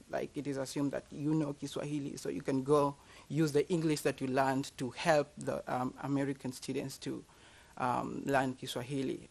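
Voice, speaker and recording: A man's voice: medium pitch, creaky. A man in his thirties from Kenya with a Kenyan accent, a university teacher giving a personal, self-deprecating talk to an audience.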